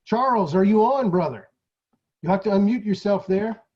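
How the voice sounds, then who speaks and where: A slightly dull recording of a man with a fairly high voice. He speaks as an event host making an informal live introduction, effusive and chummy in how he addresses people. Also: lively intonation, normal speed. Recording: dull lo-fi audio.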